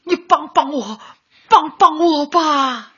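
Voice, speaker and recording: A woman's voice: high-pitched, slow pace, bright, forceful tone, exaggerated voice. An actress in a radio drama playing a grief-stricken elderly grandmother, tearful and pleading. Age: older. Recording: dull lo-fi, music behind.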